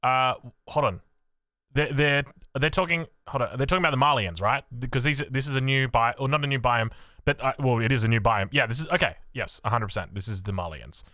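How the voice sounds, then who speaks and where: An Australian man reacting to a video as he watches, excitable and thinking aloud, cutting in on himself. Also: medium pitch, a little fast, no room sound, clean studio sound. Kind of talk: casual reaction commentary.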